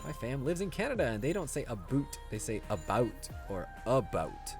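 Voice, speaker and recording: A man's voice: medium pitch, very animated, moderate pace, creaky. A man casually streaming a game, easygoing and chuckling often, chatting and reading viewer comments aloud. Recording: music playing behind the voice.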